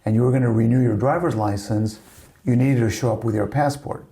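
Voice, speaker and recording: A man's voice: medium pitch, brisk, warm tone. A middle-aged man from Spain with a slight Spanish accent, telling family stories in a rambling casual chat full of "you know". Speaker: family podcast guest.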